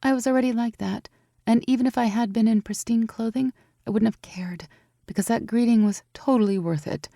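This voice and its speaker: A woman voicing an emotional, excited young winged heroine in a storybook. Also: fairly high pitch, brisk, creaky, no echo, clean studio sound, voice pushed up. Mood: tender.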